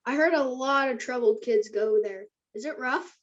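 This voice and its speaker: A woman's voice: sits high, normal speed, dull audio, close to an everyday voice. A woman playing a confident, enterprising teenage boy in a screenplay table read. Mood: curious, friendly.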